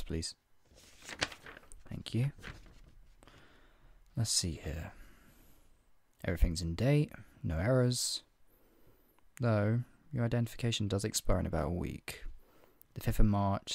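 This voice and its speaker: Casting: male actor as menacing redcoat interrogator, lowish voice, clipped and officious, ASMR roleplay, dry close sound. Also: unhurried, vocal fry, long pauses, darkish tone, no echo. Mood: brisk, officious. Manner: clipped, authoritative, formally polite with condescending threat. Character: stern, menacing British redcoat soldier interrogating a colonist. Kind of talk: ASMR roleplay, one-sided scripted dialogue.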